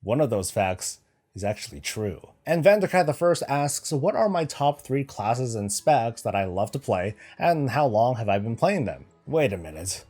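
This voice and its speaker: A man's voice: mid-range voice, sing-song, moderate pace, darkish tone. Casual joking gaming host, man. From United States, Northern California. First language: Filipino heritage, raised in the US. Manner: joking, sarcastic asides, candid. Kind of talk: casual chat answering viewer questions.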